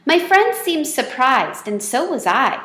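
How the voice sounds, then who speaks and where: A woman's voice: high voice, sing-song, a little slow, fairly bright tone. A woman reading a children's storybook aloud as the storyteller. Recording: echoey, roomy sound.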